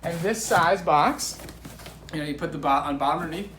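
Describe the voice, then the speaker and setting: A man's voice: fairly high pitch, a little fast, fairly bright tone. Male guest, American, enthusiastic casual explainer, upbeat delivery, strong room echo and slight background noise. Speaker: guest, diabetes coach. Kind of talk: casual chat with practical tips. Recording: echoey room with faint noise.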